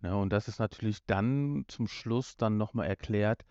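A man's voice: on the low side, moderate pace, darkish tone, dry recording, muffled recording. A man chatting as a podcast co-host in a colloquial, conversational way. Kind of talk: casual chat, chipping in with comments.

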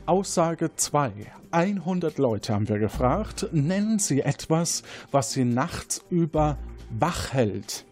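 A man's voice: on the high side, very animated, slow, a little mellow, faint music behind. A man moderating an interactive audio quiz game as its host.

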